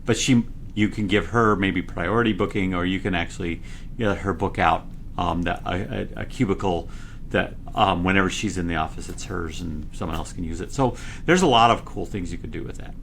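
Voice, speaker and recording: A man, a product engineer, explaining products in a conversational interview, excitable and loud when he gets going, with many restarts. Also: sits low, brisk, a little hiss or hum. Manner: frequent fillers and restarts.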